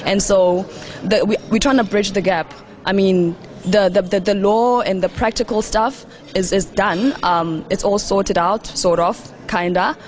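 A woman's voice: medium pitch, steady tone, a little slow, soft background music. A young woman, speaking in an interview as a youth program founder, with frequent ums and restarts. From South Africa. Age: young adult. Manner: frequent ums and restarts, laughs between thoughts. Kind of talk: interview remarks at a youth forum.

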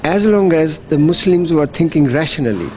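A man's voice: on the high side, animated, normal speed, a little mellow, slight background noise. A middle-aged man, giving earnest, persuasive interview answers as an NGO worker, often repeating words as he restarts. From Pakistan. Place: hotel.

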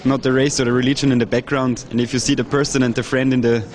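A young man who works in NGO marketing, speaking calmly and in a measured way in an interview. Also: mid-range voice, slight background noise. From Austria. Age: young adult. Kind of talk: interview remarks at a youth forum.

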